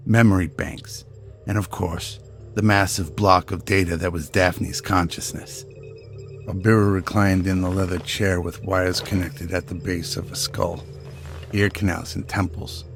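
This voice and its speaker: Man, low voice, dramatic narrator, scripted storytelling, light music behind.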